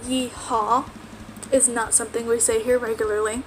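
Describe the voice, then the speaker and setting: A woman's voice: a little high, level tone, normal speed, a little hiss or hum. A young woman from Texas with a light American accent, chatting casually and rambling to the camera in a get-to-know-me video, laughing often. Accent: American, mildly Texan. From Texas, USA. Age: young adult. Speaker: vlogger. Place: at home.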